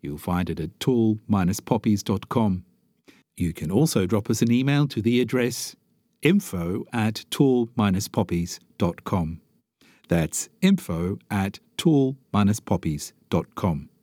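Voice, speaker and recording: A man hosting a podcast, reading a measured, scripted closing announcement. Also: on the low side, expressive, dark tone, dry recording, studio quality. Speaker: podcast host. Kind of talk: scripted outro.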